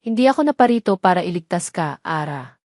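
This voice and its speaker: A woman's voice: on the low side, very animated, fairly quick, a little mellow, no room sound, clean studio sound. A woman voicing an earnest, remorseful man in love in a romantic audio drama. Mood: pleading, heartfelt.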